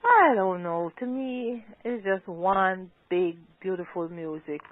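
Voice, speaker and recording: A woman's voice: medium pitch, lively intonation, slow. Chatty woman on a phone line. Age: older. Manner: talkative, laughs often, "yeah, man". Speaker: reggae singer, interview guest. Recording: tinny dry phone line.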